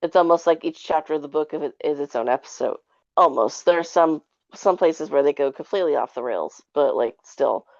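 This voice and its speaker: Low-voiced woman with some creak, chatting casually. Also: brisk, darkish tone, dry recording, lo-fi sound. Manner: rambling, informal, frequent 'like'. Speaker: podcast guest reviewer. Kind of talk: casual review chat.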